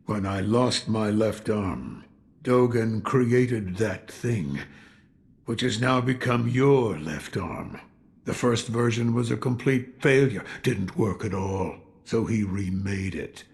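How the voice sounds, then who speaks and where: An actor playing a gruff, weary old retired shinobi in a video game, with a gravelly voice. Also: male voice, on the low side, slow pace. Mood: reflective. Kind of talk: dramatic video game dialogue.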